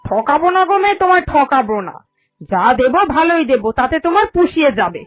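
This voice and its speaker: A woman's voice: high-pitched, very animated, fast talker, dry recording. A woman voicing a vain, greedy, bossy village moneylender in a cartoon, with a haughty and emphatic manner. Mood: businesslike. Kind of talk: Bengali cartoon fairy-tale voice acting. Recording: music under the voice.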